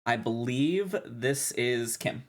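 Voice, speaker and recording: A woman's voice: extremely low pitch, expressive, takes it slowly. A woman bantering casually as she comments on a group game playthrough on a live stream. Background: game music.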